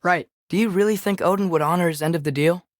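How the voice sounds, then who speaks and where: An earnest, curious teenage boy played by a young man from a fantasy video game. His voice sits fairly high for a male and sounds youthful and conversational. The recording is close and dry. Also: fast, no echo, natural voice. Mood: questioning, hopeful. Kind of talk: naturalistic video game voice acting.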